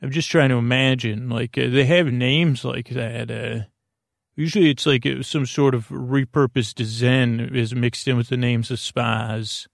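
A man with a creaky voice hosting a bedtime podcast, talking in a meandering, halting, digressive monologue. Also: mid-range voice, steady tone, no echo, clean studio sound. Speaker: podcast host.

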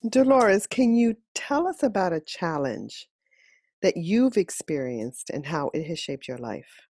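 A woman's voice: lowish voice, very animated, unhurried, a little mellow, breathy edge, dry recording, clean studio sound. A woman hosting an interview, asking questions and giving short affirming replies. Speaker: host.

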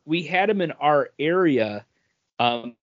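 A man's voice: fairly high pitch, animated, takes it slowly, dry recording. Guy in his 40s just chatting on a podcast, kinda rambly, dry dull lo-fi sound. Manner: rambling, repeats phrases. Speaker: podcast co-host. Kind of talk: casual chat, beer review.

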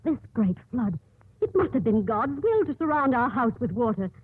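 A woman's voice: a little high, big pitch swings, normal speed, husky, full character voice. A woman playing an earnest, compassionate nun driven by inner conviction, in 1950s radio drama acting style. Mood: urgent, pleading. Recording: muffled, narrow-band 1950s radio broadcast.

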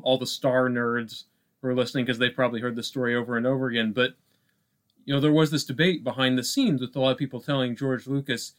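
A man co-hosting a podcast, talking casually about film in a halting way, thinking aloud with frequent asides. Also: medium pitch, a bit bright. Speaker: podcast co-host.

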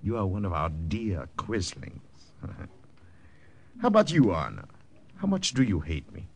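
An actor playing an arrogant, bullying major who barks orders. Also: male voice, low voice, huge pitch swings, unhurried, gravelly, heavily acted. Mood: mocking, drunk. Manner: imperious, sneering, barking orders. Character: arrogant, bullying, brandy-swilling German army major. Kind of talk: old-time radio drama acting. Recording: noisy old radio drama recording.

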